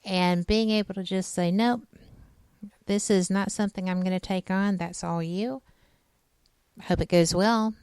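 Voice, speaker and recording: A woman's voice: medium pitch, fairly even intonation, a little slow, darkish tone, clear, resonant tone, no room sound. A woman in her late fifties, a radio host chatting frankly and casually with a co-host. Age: 50s. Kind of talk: casual chat.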